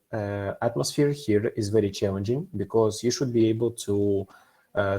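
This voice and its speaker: Young man, from Kazakhstan, non-native English speaker, fairly low pitch, soft mellow tone, student testimonial. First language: not English. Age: young adult. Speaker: student ambassador.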